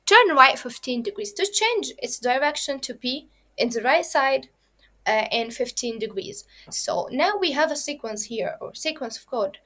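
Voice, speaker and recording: A woman teaches children the basics of coding. Her voice sits a little high, and she explains each point step by step and repeats it, with plenty of fillers along the way. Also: animated, moderate pace, pushed and bright. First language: Arabic. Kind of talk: kids' coding lesson.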